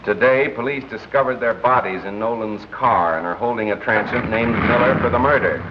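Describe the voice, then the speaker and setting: An actor playing a wry, measured male radio crime newscaster in an old-time drama, with dry wit. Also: medium pitch, lightly acted. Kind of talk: old-time crime drama acting with broadcast announcer delivery. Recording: dull, noisy old film-era soundtrack.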